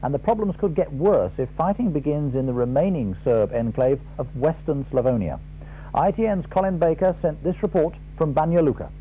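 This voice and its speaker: A man's voice: fairly high pitch, expressive, moderate pace, warm tone, a little hiss or hum, poor audio. A man with a British accent presenting television news in a formal, scripted style.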